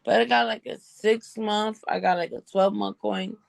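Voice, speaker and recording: A woman in her forties with a raspy voice, speaking earnestly and a bit apologetically on a video support call. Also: mid-range voice, steady tone, moderate pace, no echo. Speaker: support group member. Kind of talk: casual group chat.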